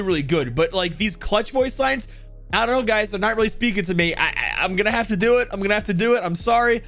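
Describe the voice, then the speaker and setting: A high-pitched male gaming host talks in a casual, chatty way. He stresses and stretches key words as he gives his commentary. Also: a bit bright. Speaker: video host.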